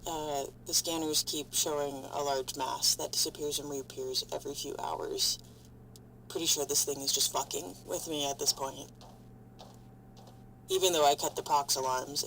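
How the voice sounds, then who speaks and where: A woman playing a frightened, foul-mouthed stranded spaceship crew member, recording halting, weary log entries in an audio drama. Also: sits low, fairly bright tone, slight background noise, rough recording.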